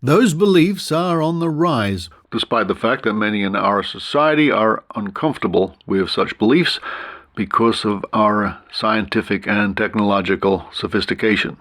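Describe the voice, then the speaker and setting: An Australian man, middle-aged or older, presenting a podcast and reading old newspaper articles aloud in a measured, storytelling way with asides. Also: on the low side, a bit bright.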